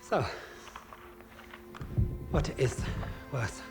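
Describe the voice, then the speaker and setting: An actor playing a cold, polite but menacing German wartime officer with a German accent in a video game. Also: male voice, medium pitch, big pitch swings, music in the background. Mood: quietly menacing. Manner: formal, deliberate, menacing. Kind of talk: cinematic video game acting.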